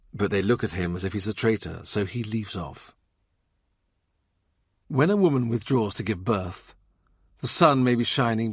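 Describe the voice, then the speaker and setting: A man narrating a historical novel as an audiobook, with measured, deliberate literary phrasing. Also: lowish voice, no room sound, clean studio sound.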